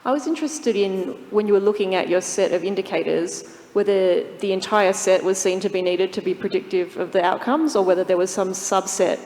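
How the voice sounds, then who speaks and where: A woman in the audience asking an articulate question and rephrasing it clearly. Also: medium pitch, fairly quick, few pauses, a little mellow. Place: lecture hall. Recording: echoey hall, faint room noise.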